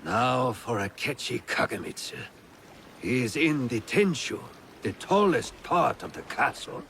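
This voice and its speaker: Slow, stern samurai lord. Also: male voice, medium pitch, sing-song, gravelly, fairly bright tone, a little hiss or hum, heavily acted. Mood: purposeful. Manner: deliberate, formal. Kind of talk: video game cutscene voice acting.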